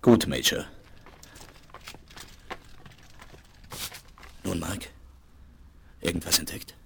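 An actor playing a decisive frontier hero with resolute authority in a 1970s German western radio play. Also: male voice, low voice, a little slow, gravelly, a bit bright, exaggerated voice. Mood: calm, resolute. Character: decisive, commanding Wild West frontier hero. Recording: vinyl transfer with background noise.

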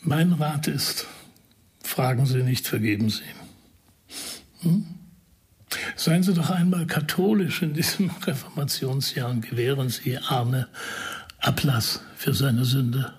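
A man acting in a radio crime drama as a shrewd investigator who speaks deliberately and persuasively, with a husky, creaky voice. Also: medium pitch. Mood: gently pleading. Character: shrewd male investigator explaining a dismissal intrigue to a friend.

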